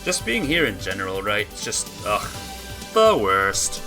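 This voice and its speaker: A woman's voice: very deep voice, sing-song, unhurried. A woman game streamer reading a visual novel aloud and chatting casually with viewers. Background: music.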